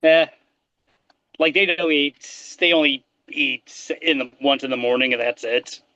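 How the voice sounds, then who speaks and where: A man's voice: mid-range voice, a little slow, bright, forceful tone, dry recording. A man co-hosting a casual podcast chat, rambling and hesitant with a wry edge. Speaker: podcast co-host. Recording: slightly dull lo-fi mic.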